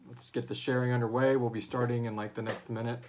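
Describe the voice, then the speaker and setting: A man's voice: mid-range voice, little pitch movement, fast, darkish tone, a little hiss or hum. A man hosting a casual livestream chat in a jokey, rambling way, sometimes muttering as he sets things up. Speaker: host and co-creator of a horror audio drama.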